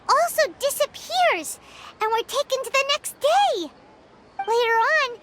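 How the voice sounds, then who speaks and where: A woman voicing a tiny, excitable, childlike fairy companion in a video game, inquisitive and exclamatory, speaking of herself in the third person. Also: very high-pitched, background noise, full character voice. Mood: curious, puzzled. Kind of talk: animated video game cutscene acting.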